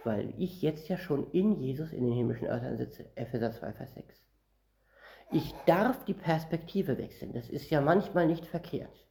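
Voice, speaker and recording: A man's voice: a little high, lively intonation, moderate pace, creaky, mellow. A man from northern Germany preaching a Bible-teaching sermon, reading verses aloud and explaining them word by word in a conversational way.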